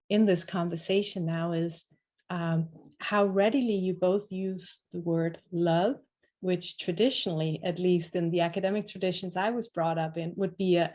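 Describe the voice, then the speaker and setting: Woman on a panel, a bit low voice, thinks out loud w lots of restarts. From Denmark. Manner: reflective, searching for words with many restarts. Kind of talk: online panel discussion.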